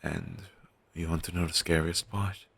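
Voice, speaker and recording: Low, gravelly, morbid undead best friend acted by a man. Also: steady tone, a bit bright, full character voice. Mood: troubled, confessional. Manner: halting, sighing, sardonic delivery with trailing hesitations. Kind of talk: intimate scripted audio roleplay monologue.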